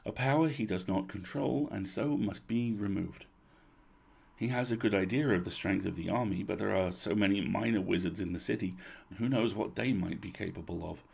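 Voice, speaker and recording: A man voicing a weary, regretful old duchess who speaks in formal, measured phrases for a storybook reading. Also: on the low side, vocal fry, a little mellow, husky, full character voice. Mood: grave.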